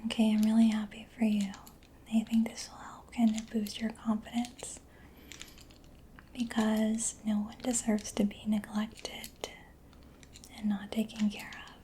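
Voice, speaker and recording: A woman speaking in a soft, breathy whisper for an ASMR roleplay, playing a gentle, reassuring mechanic, close to the microphone. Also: on the high side, monotone, measured pace, slight background noise.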